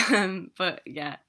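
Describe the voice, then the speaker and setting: Bubbly young woman with a Midlands accent. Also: lowish voice. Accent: English Midlands. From born in Newcastle, raised in the English Midlands. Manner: chatty and excitable, many ums and laughs. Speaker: travel blogger. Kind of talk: casual video message.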